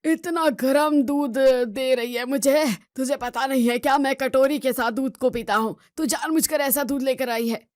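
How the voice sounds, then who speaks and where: A woman's voice: high-pitched, moderate pace, few pauses, dry recording, clean studio sound, natural voice. A woman playing an irritable, suspicious elderly father-in-law in a family drama, grumbling and accusing. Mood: irritated. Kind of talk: Hindi family drama voice acting.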